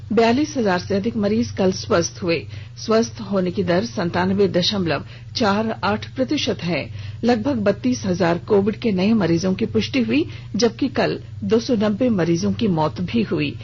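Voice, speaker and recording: A woman's voice: medium pitch, darkish tone. A woman reading a scripted regional radio news bulletin as the newsreader. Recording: dull lo-fi radio broadcast with hiss.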